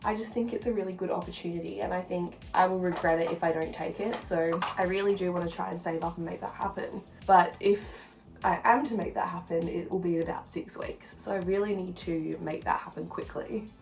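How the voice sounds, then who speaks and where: A woman with a slightly low voice talks casually, as if filming a personal vlog. She rambles in an informal, confiding way. Faint music plays behind her. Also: steady tone, brisk, creaky, few pauses, a little mellow. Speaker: vlogger. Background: background music.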